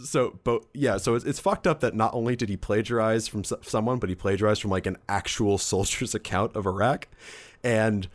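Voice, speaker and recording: Low-pitched man chatting on a podcast. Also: a little fast. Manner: enthusiastic, geeky, conversational. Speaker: podcast co-host. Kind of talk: casual hobby podcast chat.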